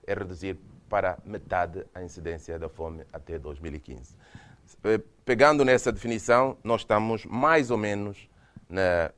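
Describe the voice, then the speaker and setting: A man from Mozambique with a Mozambican Portuguese accent, giving a university lecture with slides and speaking hesitantly, with frequent restarts. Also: on the low side. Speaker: guest lecturer. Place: lecture hall.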